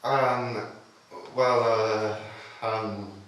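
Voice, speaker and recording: A man's voice: lowish voice, steady tone, extremely slow, fairly bright tone, slight background noise. A man playing a flustered, indignant office employee, stammering in exasperation at a script table read. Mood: sheepish. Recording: very echoey room, distant mic.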